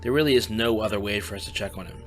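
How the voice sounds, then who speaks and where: A man's voice: fairly low pitch, rapid-fire, soft background music, close to an everyday voice. An actor playing a weary young male student with magic powers who speaks bluntly and swears when tired.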